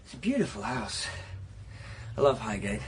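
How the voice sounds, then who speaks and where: An English actor playing a relaxed, chatty male poet who lays floors, in a radio comedy drama. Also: fairly low pitch, moderate pace, a little hiss or hum. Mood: easygoing.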